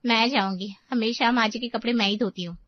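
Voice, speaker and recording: A woman's voice: fairly high pitch, brisk, no echo, dull audio, close to an everyday voice. A woman voicing a competitive, self-righteous daughter-in-law in a dramatised family story.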